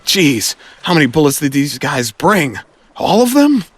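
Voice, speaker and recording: Man playing a cocky young wisecracking superhero, higher-pitched and lively. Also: measured pace, heavily acted. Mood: exasperated. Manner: quippy, exclaiming. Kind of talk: audio drama acting.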